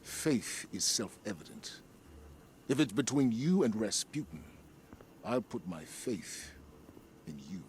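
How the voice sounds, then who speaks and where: A man playing a stern, skeptical, dutiful military commander in a video game, speaking in a grave, formal, measured way. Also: lowish voice, very animated, a little mellow, a little hiss or hum.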